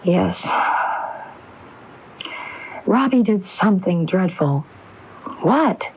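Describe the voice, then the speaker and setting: Determined widow on dull AM radio. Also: female voice, mid-range voice, animated, measured pace, a little hiss or hum. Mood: grave, probing. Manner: insistent, probing. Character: determined, anguished widow probing her husband's murder. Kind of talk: 1980s radio mystery drama acting. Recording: dull lo-fi AM radio broadcast recording.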